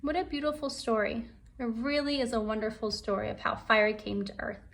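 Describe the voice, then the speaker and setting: A woman teacher reading a folktale aloud to children in a deliberate, expressive storytelling way. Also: a little high, gravelly.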